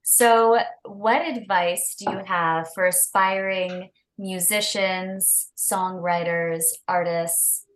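A woman's voice: lowish voice, steady tone, takes it slowly, few pauses, low-quality recording. Woman hosting a chat, lots of yeahs and totallys, easygoing questions. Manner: encouraging, frequent affirmations. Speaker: interviewer host. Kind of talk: conversational interview.

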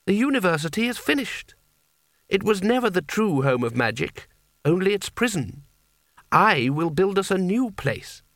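An imperious young boy wizard, acted by a male narrator, pitched high above ordinary speech. He declaims with zealous certainty and sweeping swings of tone, in a very dry fantasy audiobook sound. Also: very animated, moderate pace, fairly bright tone, no echo, full character voice. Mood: coldly triumphant. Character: commanding zealous boy wizard leading the mages.

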